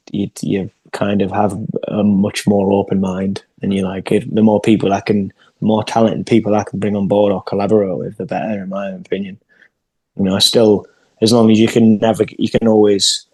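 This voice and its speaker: A man's voice: lowish voice, warm tone, breathy edge, no room sound. A young English man, a singer-songwriter, chatting in an interview over a video call and often restarting his sentences. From England. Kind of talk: casual interview chat.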